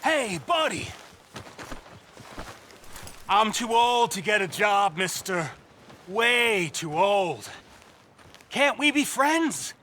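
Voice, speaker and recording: An actor playing a lonely old American war veteran begging for coins in an Old West video game, rambling and plaintive like a child. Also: male voice, high voice, big pitch swings, extremely slow, pauses often, sharp tone, slight background noise, exaggerated voice. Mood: eager, needy. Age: older.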